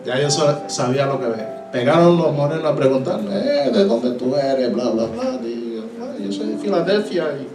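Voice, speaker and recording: A man with a Puerto Rican accent tells his testimony as a sermon, acting out the voices he met and mixing English phrases into his Spanish. His voice sits a little high and reaches the microphone from a distance, ringing in a reverberant church hall. Soft instrumental music plays quietly behind him. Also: sing-song, moderate pace, few pauses. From Puerto Rico. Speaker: pastor. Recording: echoey hall, voice from afar.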